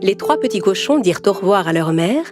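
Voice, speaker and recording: A woman narrates a children's story from a script. Her voice is medium in pitch, and loud music plays behind it. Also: expressive, brisk.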